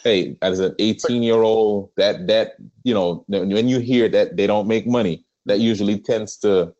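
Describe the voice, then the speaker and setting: A man with a Trinidadian accent, a pastor telling his life story as a podcast guest, with many pauses. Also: fairly low pitch, a little mellow, highs cut off. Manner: earnest storytelling with frequent "um" pauses. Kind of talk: conversational interview.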